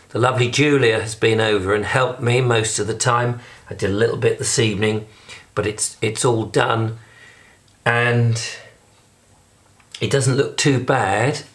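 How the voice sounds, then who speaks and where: Hoarse English man chatting on camera. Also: on the low side. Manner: rambling, chatty, self-deprecating. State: hoarse, recovering from lost voice. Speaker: video blogger. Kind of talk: casual diary vlog. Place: indoors at home and in a flat.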